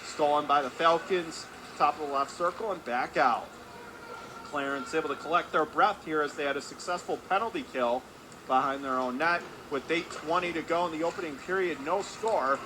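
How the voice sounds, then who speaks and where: A man's voice: a little high, moderate pace. A man calling live radio hockey play by play in clipped running phrases, his voice rising with the action. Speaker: play-by-play sports announcer. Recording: constant loud arena background noise. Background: hockey arena ambience.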